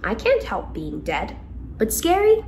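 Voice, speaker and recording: A timid, friendly boy ghost from a storybook, acted out by a woman, high and very animated. He is mournful and dramatic, quick to exclaim and plead. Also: a little hiss or hum, exaggerated voice. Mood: glum.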